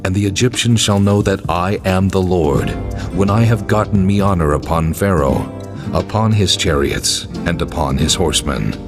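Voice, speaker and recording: God in an audio drama, with a deep, commanding voice. Every line is a solemn pronouncement. Music plays clearly underneath. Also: male voice, moderate pace. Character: God, commanding male divine voice. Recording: voice over music.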